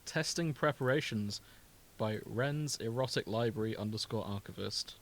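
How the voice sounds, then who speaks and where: A man's voice: fairly low pitch, moderate pace. A British man chatting casually as a podcast co-host, with a jokey, bantering manner. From Britain. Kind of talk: casual comedic chat.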